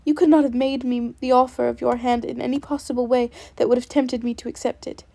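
A woman's voice: on the high side, little pitch movement, brisk, vocal fry, warm tone, dry recording. Elizabeth, a woman reading a spirited indignant young lady.

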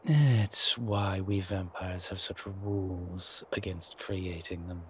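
Man playing a slow, low-voiced, suave ancient vampire. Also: fairly even intonation. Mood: grave, reluctant. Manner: measured, wry, theatrical, with deliberate pauses. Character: centuries-old male vampire, suave, wry, protective, comfort-loving. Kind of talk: scripted roleplay audio drama addressed to the listener. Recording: clear background ambience noise.

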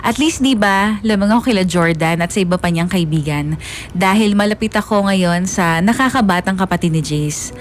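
A woman playing a lovestruck young woman who narrates her high school crush in a confiding, girlish way for a radio drama. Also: normal speed, slight background noise, natural voice. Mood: pleased.

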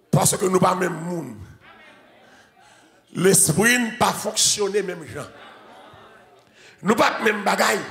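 Haitian pastor in his forties, bright forceful delivery, slow and chanting, handheld mic in a church hall. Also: high voice, vocal fry. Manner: shouting, chanting repetitive preaching. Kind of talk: sermon. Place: large church sanctuary with congregation. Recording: echoey church hall, organ music.

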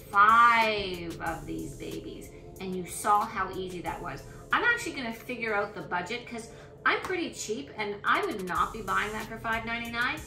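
A middle-aged woman talks through a recipe in her home kitchen, chatty and thinking aloud as she cooks. Her voice sits slightly high. The room is echoey, and music plays clearly underneath. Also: bright, forceful tone. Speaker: cooking vlogger. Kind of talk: casual cooking demonstration.

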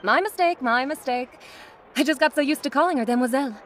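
An actress playing a deferential, chatty shopkeeper in a video game, polite and flattering, over faint music. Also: female voice, sits high, quick pace. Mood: deferential, pleasant. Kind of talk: video game character acting.

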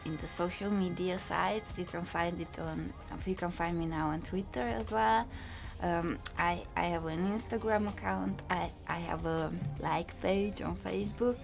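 A woman's voice: fairly low pitch, steady tone, measured pace, vocal fry. A young woman from Hungary with a Hungarian accent, answering interview questions casually in a recording studio, with frequent fillers and light laughs. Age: young adult. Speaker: music student. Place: recording studio control room. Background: background music.

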